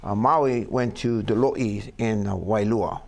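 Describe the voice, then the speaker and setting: A man from Hawaii, talking in a casual studio chat and pausing often on "uh" in a plain, pidgin-tinged way. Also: fairly low pitch, vocal fry. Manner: halting, many "uh" fillers, plain pidgin-tinged phrasing. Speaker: foundation founder, co-host.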